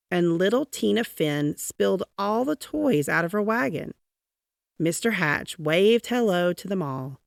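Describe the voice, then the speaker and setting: Low-pitched woman reading a children's storybook. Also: vocal fry, dry recording, studio quality. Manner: dramatic, drawn-out emphasis on key words. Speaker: read-aloud storyteller. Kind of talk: expressive children's storybook narration.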